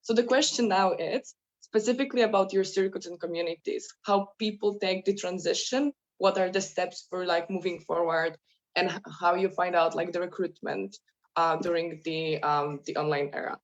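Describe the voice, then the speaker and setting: Halting woman moderator from Poland, low-set voice, lo-fi. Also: fairly even intonation. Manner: halting, searching for words. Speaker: panel moderator. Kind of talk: moderating a panel discussion.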